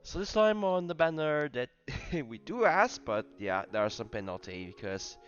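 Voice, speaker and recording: Stammering, animated man commentating on a game. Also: fairly high pitch, lo-fi sound, soft background music. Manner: halting, stammering, repeats words. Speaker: gaming streamer. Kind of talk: casual live gameplay commentary.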